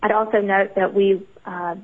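A woman with a Southern US accent, an expert witness reading prepared remarks to a committee in a measured, formal way, heard over a telephone. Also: medium pitch, flat delivery. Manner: measured, formal delivery with occasional fillers. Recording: narrow phone-line audio.

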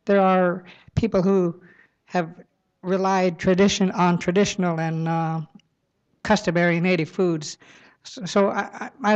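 Man, hesitant hearing testimony, flat higher voice, dull lo-fi recording. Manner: hesitant, many fillers and repeats. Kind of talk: public testimony. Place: public hearing room.

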